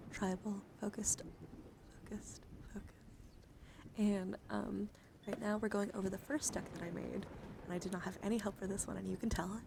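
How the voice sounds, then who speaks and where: A woman hosting an ASMR livestream, chatting softly and often slipping into a whisper with careful, deliberate enunciation. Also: medium pitch, vocal fry. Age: adult, over 18. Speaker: streamer host. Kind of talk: soft ASMR livestream chat. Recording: noticeable background noise.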